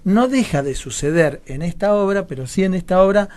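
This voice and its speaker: Male, Argentine Rioplatense accent, slightly high register. Reflective interview guest, discursive and conversational, fond of rhetorical questions. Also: lively intonation, a little fast, a little mellow. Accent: Rioplatense Argentine Spanish. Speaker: guest playwright.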